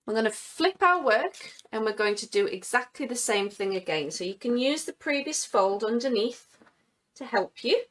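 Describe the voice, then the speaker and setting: A woman giving step-by-step craft instructions in a clear, methodical way. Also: on the high side, animated, moderate pace, fairly bright tone, a little hiss or hum.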